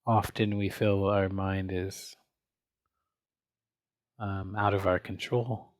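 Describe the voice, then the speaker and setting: Guy doing guided meditation, really slow n flat, gentle, lots of pauses. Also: low-pitched. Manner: gentle, unhurried, pausing often between phrases. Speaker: meditation teacher.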